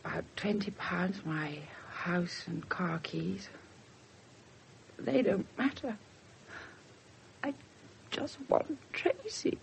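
An anxious, injured mother, faint and halting. Her voice is slow and creaky, in a 1970s radio play with slight noise. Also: medium pitch, animated. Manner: faint, halting, breathy delivery. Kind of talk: 1970s British radio drama acting.

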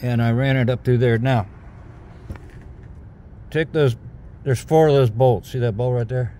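A man's voice: medium pitch, measured pace, warm tone. A middle-aged man giving a casual, hands-on how-to in a folksy, hesitant, unscripted way. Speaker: DIY video maker. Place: outdoors at an open truck cab. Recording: clear outdoor ambient noise.

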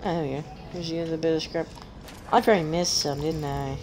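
A woman with a deep voice, chatting casually as she plays a game on stream, joking at herself and humming while she searches. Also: steady tone, fast, a little mellow, a little hiss or hum, rough recording. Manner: chatty, self-mocking, giggly, hums while searching. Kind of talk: casual gameplay commentary.

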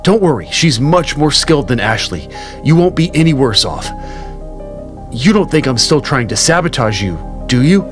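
A man's voice: medium pitch, big pitch swings, moderate pace, natural voice. An actor playing a bitter, commanding male company boss in an audio drama, with a smug manner. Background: background music.

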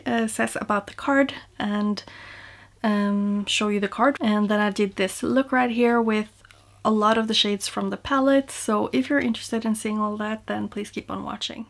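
A young woman from Norway, chatting to camera in a makeup vlog, upbeat and sometimes dropping to a soft near-whisper. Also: medium pitch. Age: young adult. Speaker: makeup vlogger. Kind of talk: beauty vlog, talking to camera. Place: small room indoors, home. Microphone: seated at desk facing camera.

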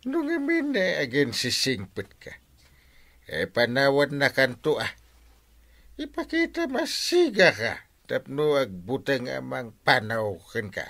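Comedy radio uncle: Ilocano accent, blustering, stammering, slow, hugely varied pitch. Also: male voice, huge pitch swings, gravelly, fairly bright tone, exaggerated voice. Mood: blustering, coaxing. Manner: exaggerated, stammering. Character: meddling, blustering uncle giving bad courting advice.

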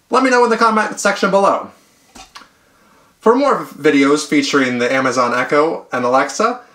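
A man in his thirties hosting a tech tutorial, speaking upbeat and clear straight to the camera in a small room at home. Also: on the high side, bright, forceful tone. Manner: upbeat, clear presenter delivery. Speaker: tech channel host. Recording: roomy echo.

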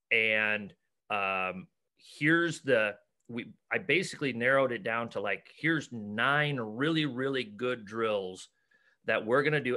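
Middle-aged American male coach, forceful bright tone, conversational, stop-and-start delivery with repeated words. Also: mid-range voice. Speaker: basketball coach and clinic organizer. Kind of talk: casual video-call interview. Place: cluttered room at home, on a video call. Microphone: video call.

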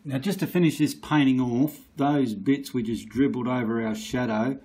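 A man's voice: mid-range voice, moderate pace, a little mellow, husky. A man giving a casual, blunt painting tutorial while he works at an easel, with the odd mild swear.

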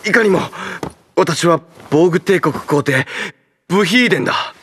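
An actor playing a pompous, cowardly pig emperor ashamed of his looks, haughty but stammering and flustered, in an animated fantasy game. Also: on the high side, normal speed, slight background noise. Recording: music behind.

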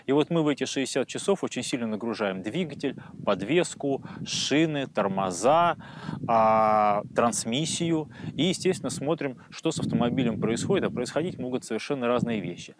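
A man in his forties, a test expert explaining on camera with careful, pausing phrasing, recorded outdoors on a lapel mic. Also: mid-range voice, expressive, background noise. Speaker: car endurance test expert. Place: outdoors on an open tarmac test track.

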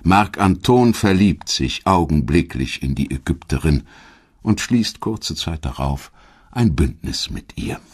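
A man reading a scripted audio documentary in a measured, dramatic storytelling manner, with a husky voice. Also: rumbling low, sing-song, a little mellow. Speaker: documentary narrator. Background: background music.

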